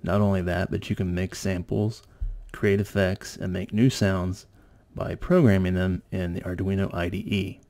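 Low, creaky-voiced man narrating a tutorial. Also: fairly even intonation, normal speed, a little mellow. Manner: informal, explanatory. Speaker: host of an electronics tutorial channel.

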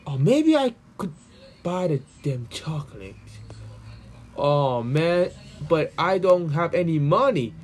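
Guy doing a little excitable hedgehog kid for a comic dub, high voice, kinda slow, thinking out loud. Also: slight background noise. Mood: musing. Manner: musing aloud, exclaiming. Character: small young male hedgehog, excitable, earnest, affectionate. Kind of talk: amateur fan comic dub.

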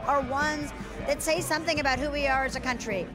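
High-voiced, articulate woman producer. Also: fairly quick, music in the background, a crowd in the background. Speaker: TV producer being interviewed. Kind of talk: red-carpet interview.

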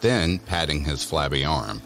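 A man's voice: extremely low pitch, expressive, a little slow, fairly bright tone, exaggerated voice. A man narrating a scripted spooky story about teens, as a storyteller in an audio drama. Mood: measured, eerie. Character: male storyteller of a spooky teen tale. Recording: music under the voice.

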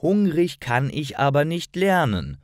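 A man's voice: fairly high pitch, sing-song, unhurried, dry recording, studio quality, voice pushed up. A man voicing a stressed young male student in a storybook, apologetic and emphatic. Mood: matter-of-fact.

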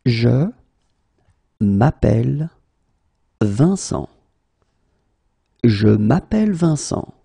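French guy teaching phrases super slow, dark husky voice, says each one then word by word. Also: medium pitch, sing-song, no echo, clean studio sound. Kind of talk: slow phrase-by-phrase language drill.

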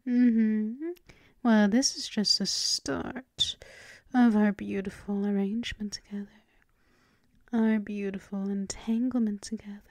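A woman voicing a seductive, dominant vampire mistress in a breathy, teasing, mostly whispered ASMR roleplay. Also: mid-range voice, fairly even intonation, a little mellow, no echo. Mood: possessive, seductive. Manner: breathy, slow, teasing, coaxing. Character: seductive, dominant vampire mistress doting on her pet thrall.

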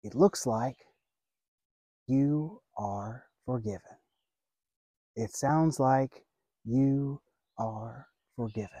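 A man in his thirties delivers an earnest outdoor sermon, seated by a lake, speaking slowly with long pauses. His voice is mellow and warm, caught close and dry on a lapel mic. He builds his points in measured phrases. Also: medium pitch, no echo. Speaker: pastor.

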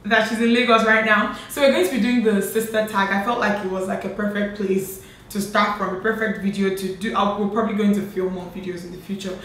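A woman's voice: medium pitch, brisk. Chatty woman vlogger in an echoey room. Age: late 20s. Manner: animated, laughing, chatty. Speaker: beauty vlogger host. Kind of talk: casual chat. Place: bright home room, indoors. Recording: echoey room with faint noise.

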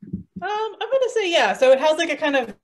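A woman with a highish, very animated voice plays a tabletop story game. Her chat is casual and playful, with pitch moving freely up and down. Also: a little fast.

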